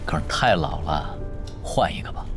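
A man's voice: a bass voice, animated, normal speed, vocal fry, over music, full character voice. An actor playing a laid-back, sardonic young man in a radio drama, casual and needling in the way he talks.

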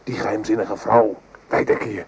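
An actor playing a wary, wry and commanding French corporal in a radio play, questioning briskly. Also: male voice, lowish voice, steady tone, vocal fry. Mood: teasing. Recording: noisy old radio drama recording.

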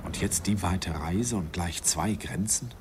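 A man's voice: low-pitched, a little slow. An actor playing a middle-aged man who wistfully recalls his youth, telling it in the first person in an old radio play. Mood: wistful, amused. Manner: reflective storytelling. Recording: old radio recording, clear background noise.

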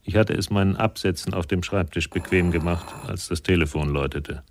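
An actor playing a laid-back hardboiled private detective, narrating a noir radio play in a laconic, deadpan way. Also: male voice, deep voice, a little fast, noisy background, natural voice. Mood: wry, laconic.

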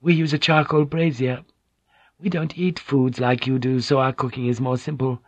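A man voicing a composed, courteous Japanese noblewoman who translates for others, soft-spoken and polite. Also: mid-range voice, level tone, moderate pace, no echo, pitched above the performer's own voice, close to an everyday voice. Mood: gentle. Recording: dull, dry lo-fi recording.

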